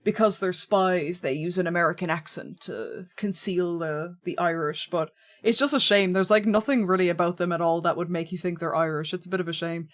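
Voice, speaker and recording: A woman's voice: lowish voice, a little fast. A young woman chatting casually with her viewers while she plays, wry and rambling, often restarting her sentences. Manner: chatty, wry, frequent self-corrections. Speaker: streamer. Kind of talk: casual gameplay commentary, reading chat. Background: game music.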